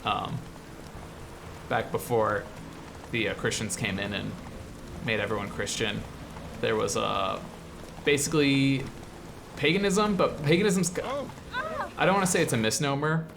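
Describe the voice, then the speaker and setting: A man's voice: mid-range voice, a little slow, vocal fry, pauses often. Male American game show host, mid-range voice, casual, enthusiastic explainer, noticeable background noise. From US Midwest. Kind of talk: casual game commentary while playing.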